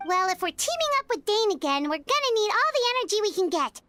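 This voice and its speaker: A tiny floating fairy companion from a video game, eager and excitable, always jumping in. Her voice sits extremely high and bounces all over the place. She speaks of herself in the third person over faint game music. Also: heavily acted. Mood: chirpy. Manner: eager, quick to jump in.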